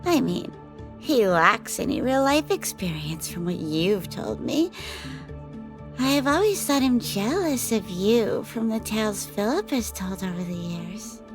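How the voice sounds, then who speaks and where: A woman voicing a playful, flirtatious woman, a vampire's lover, in an audio drama, teasing and unhurried as she tells her stories. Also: mid-range voice, lively intonation. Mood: teasing, confiding. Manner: teasing, unhurried storytelling with frequent laughter. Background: background music.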